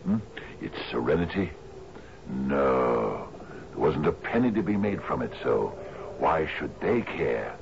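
A man's voice: extremely low pitch, big pitch swings, a little slow, creaky, exaggerated voice. An actor in a radio drama playing an expansive, dreamy sea captain in his fifties, musing theatrically with questioning little grunts. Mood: wistful musing. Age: 50s. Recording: dull, noisy 1970s radio recording.

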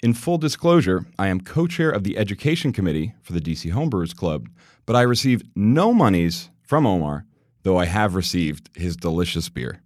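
A man's voice: low-pitched, animated, fairly quick, darkish tone, no room sound, studio quality. A man acting as a podcast interviewer and host, addressing listeners and his guest. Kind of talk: podcast interview with prepared introduction.

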